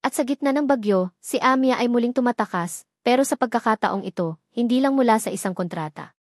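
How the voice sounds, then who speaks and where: A woman's voice: on the high side, expressive, brisk, dry recording, clean studio sound. A woman with a Filipino accent reading a scripted romance story as a narrator, in a solemn, melodramatic manner.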